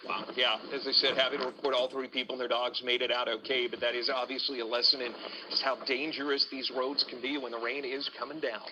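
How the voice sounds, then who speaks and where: A man's voice: medium pitch, flat delivery, quick pace. A man presenting live news as a studio anchor, partly ad-libbing. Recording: faint noise on broadcast audio.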